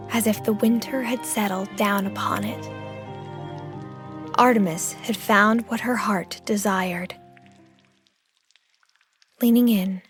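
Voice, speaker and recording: High, breathy woman narrating slowly over music. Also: no room sound. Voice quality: breathy, often near a whisper. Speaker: audio drama narrator. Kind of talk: slow, sensual scripted story narration.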